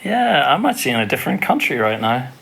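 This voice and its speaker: A man's voice: medium pitch, sing-song, fast, gravelly, a bit bright, slightly rough. A man, co-hosting a casual podcast, easygoing and teasing in his banter. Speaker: podcast co-host.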